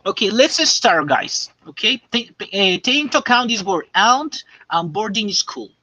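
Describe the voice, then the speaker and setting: A man teaching English, dictating slowly and checking often that students understand. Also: high voice, expressive, bright, forceful tone, no echo. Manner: slow and repetitive, checking understanding. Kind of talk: classroom dictation lesson. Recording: dull lo-fi classroom recording.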